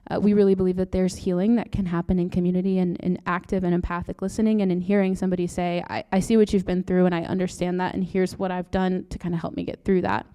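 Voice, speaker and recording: Young female grad student presenter, soft-spoken and earnest, warm and resonant on the mic. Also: fairly low pitch, little pitch movement, fast talker, hardly any pauses, clean tone. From Brazil. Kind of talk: conference presentation. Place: lecture room. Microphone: handheld or podium mic.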